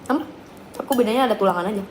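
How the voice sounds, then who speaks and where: A woman's voice: medium pitch, racing. A young woman telling stories and giggling in casual chat while she eats. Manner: chatty, giggly storyteller. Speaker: live stream co-host. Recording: echoey room with faint noise. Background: clinking cutlery and dishes.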